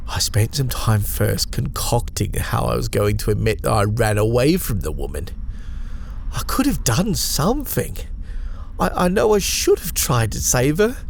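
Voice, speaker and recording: Gravelly, haunted man acted by a male storyteller. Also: mid-range voice, very animated, slight background noise. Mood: panicked, shaken, then guilty, anguished. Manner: shaken, haunted, halting with stammered repeats. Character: haunted, guilt-ridden man recording his terrifying confession. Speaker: storyteller reading own horror story. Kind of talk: first-person dramatic horror narration.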